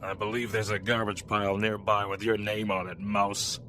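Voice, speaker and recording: A man's voice: fairly low pitch, little pitch movement, normal speed, sharp tone, soft background music. A man voicing a grim, irritable robot hunter in a fan radio drama, curt, menacing and full of contempt. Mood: irritated, threatening. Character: grim, irritable robot manta-ray hunter bent on revenge.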